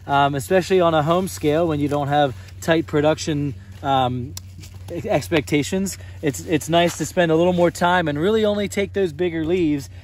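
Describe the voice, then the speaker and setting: A young man explains his farm work outdoors on a snowy field, recorded close on a phone camera. His voice sits a little high for a man, and his earnest explanations are laced with "uh" and "um.". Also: normal speed. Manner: explanatory, earnest, frequent "uh" and "um" fillers. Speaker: farmer. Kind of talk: on-site farm tour interview.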